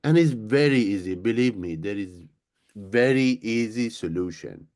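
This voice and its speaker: Very deep-voiced male guest, earnest and halting, repeating words as he speaks. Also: expressive, darkish tone. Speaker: guest, executive director. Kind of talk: interview answers.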